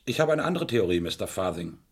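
Probing crime drama inspector, matter of fact and deliberate, bit of husk in the voice. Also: male voice, sits low, big pitch swings, breathy edge, exaggerated voice. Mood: probing, firm. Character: sceptical, methodical police inspector, firm and probing. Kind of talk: German radio crime play acting.

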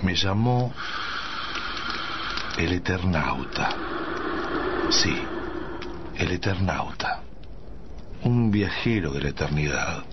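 Weary phantom wanderer, deep voice, halting, solemn, radio drama, muffled noisy recording. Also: male voice, expressive. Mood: melancholy, solemn. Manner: halting, solemn, pauses between phrases. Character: weary, sad male time traveler, ghostly wanderer of centuries.

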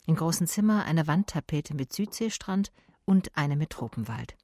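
A woman's voice: low-pitched, lively intonation, normal speed, darkish tone, dry recording, studio quality. An actress playing a female private detective who narrates her case in a radio play in a matter-of-fact, laconic way. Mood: observant.

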